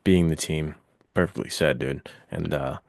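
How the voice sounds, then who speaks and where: A man's voice: rumbling low, normal speed, vocal fry, a little mellow, no room sound, studio quality. A man co-hosting a podcast in casual chat, speaking encouragingly and directly to listeners. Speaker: podcast co-host.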